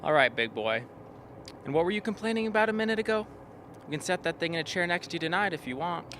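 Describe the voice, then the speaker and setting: Man acting a high-voiced, teasing explorer. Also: expressive, fast, a bit bright, a little hiss or hum. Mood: mocking. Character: teasing, wisecracking male expedition member. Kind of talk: radio drama acting.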